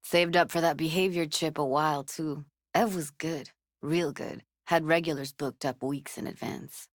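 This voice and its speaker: A woman's voice: low voice, fairly even intonation, moderate pace, no echo, studio quality, natural voice. A woman voice-acting a tough, blunt but tender-hearted young techie in a video game, with clipped, slangy, sweary phrasing. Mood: matter-of-fact, guarded.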